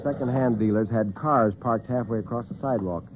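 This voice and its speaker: An American man telling dramatic tales as host and storyteller in classic radio style, theatrical and expressive. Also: medium pitch, fairly quick, background noise. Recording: narrow-band vintage radio recording, muffled.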